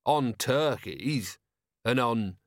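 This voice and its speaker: A wry, tired commander of the city watch, as a male narrator reads him. His delivery is clipped and sardonic, mid-pitched with lively inflection, recorded dry and close. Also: drawn-out words, no room sound. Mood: incredulous.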